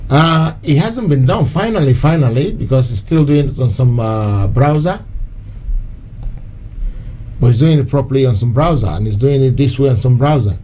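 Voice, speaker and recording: A man's voice: medium pitch, expressive, moderate pace, mellow, a little hiss or hum, rough recording. A man hosting a live-stream show, talking in a loose, repetitive way and slipping in short Yoruba phrases. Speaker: host of an online activist show. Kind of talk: casual live-stream address.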